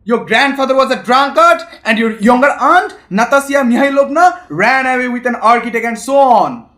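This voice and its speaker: A man's voice: very high voice, animated, normal speed, pushed and bright, exaggerated voice. A young man, a student actor playing an irascible, condescending older landowner and father in an amateur audio drama. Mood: abusive, furious. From India. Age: young adult. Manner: blustering, condescending.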